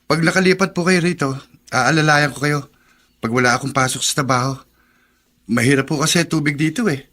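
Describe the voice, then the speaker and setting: Bright, polite young neighbor lad. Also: male voice, on the high side, a little fast, pauses often. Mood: helpful. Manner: respectful, earnest. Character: polite, eager, kindly young male neighbor. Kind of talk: Filipino radio drama acting.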